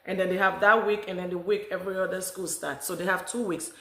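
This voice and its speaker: A woman's voice: lowish voice, steady tone, fast, bright, forceful tone. A middle-aged woman from Africa talking casually to camera as a vlogger, rambling with many restarts. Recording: echoey room.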